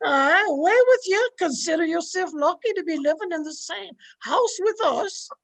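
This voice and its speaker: A woman's voice: extremely high pitch, animated, normal speed. A woman playing a crusty old Scottish housemaid in radio drama, tart and impudent. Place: at home, recorded over video call.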